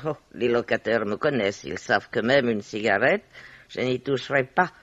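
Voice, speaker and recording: An actress playing a blunt, nosy old Russian-born widow, sly and emphatic, in a 1950s French radio mystery. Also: female voice, extremely low pitch, moderate pace, a bit bright, dry recording. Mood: sly, gossiping. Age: older. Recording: dull vintage radio recording.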